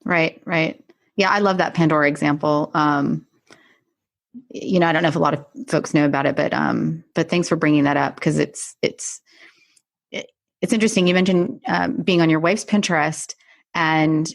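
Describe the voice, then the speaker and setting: A woman hosting a conversational business podcast interview, agreeable and quick to affirm what her guest says. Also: sits low, fairly even intonation, vocal fry, no room sound, clean studio sound. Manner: agreeable, frequent short affirmations. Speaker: podcast host.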